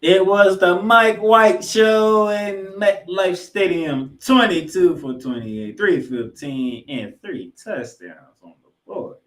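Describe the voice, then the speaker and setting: A man's voice: high-pitched, slow, hardly any pauses, fairly bright tone. A man co-hosting a sports podcast, giving football recaps with emphatic, announcer-like delivery of scores and stats mixed with casual banter.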